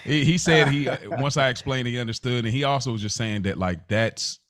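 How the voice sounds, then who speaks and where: A man's voice: mid-range voice, fairly quick, fairly bright tone, no room sound, clean studio sound. An American man co-hosting a podcast in casual, informal and reflective conversation. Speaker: podcast co-host.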